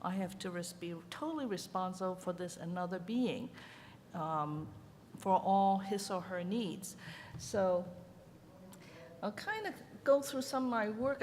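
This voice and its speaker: An older woman from Hong Kong who grew up speaking Chinese talks candidly and chattily about her life and her art, laughing easily. Her voice carries a long reverb, and a faint hiss sits under it. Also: medium pitch. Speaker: ceramic artist presenting at a panel. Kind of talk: conference talk. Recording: reverberant hall with faint noise.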